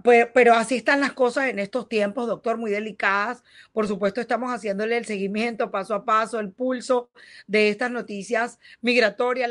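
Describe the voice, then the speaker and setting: A woman's voice: a little high, a bit bright. Woman hosting a news interview. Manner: conversational, advisory, addresses viewers directly. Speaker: news show host. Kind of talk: news interview and advice segment.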